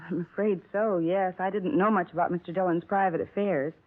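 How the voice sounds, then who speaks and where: A woman's voice: lowish voice, fairly even intonation, brisk, natural voice. A woman playing a chatty, gossipy landlady. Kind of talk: 1950s radio drama acting. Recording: tinny 1950s radio broadcast.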